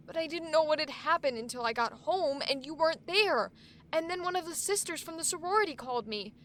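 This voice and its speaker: A woman acts out a college-age daughter in a vintage radio drama. She speaks earnestly and apologetically in a high, girlish voice, with faint noise around her. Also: fairly bright tone. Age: young adult. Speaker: actor in an audio drama. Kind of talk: old-time radio drama acting.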